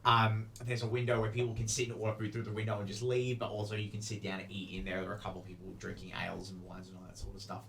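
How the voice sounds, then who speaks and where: A man's voice: fairly low pitch, level tone, very fast, gravelly, few pauses, a bit bright, slight background noise. A man improvising narration as game master of a tabletop game, in casual, rambling explanations. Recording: music behind the voice.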